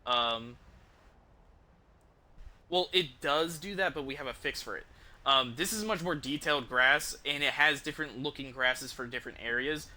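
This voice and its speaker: Bright, forceful male game streamer. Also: medium pitch. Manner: informal, enthusiastic, rambling. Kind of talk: casual live stream commentary.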